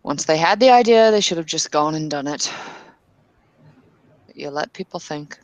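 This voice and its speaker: A woman's voice: low voice, expressive, normal speed, no room sound, dull audio. A woman playing a blunt, impatient woman in an in-character tabletop roleplay, speaking in hesitant bursts that turn opinionated.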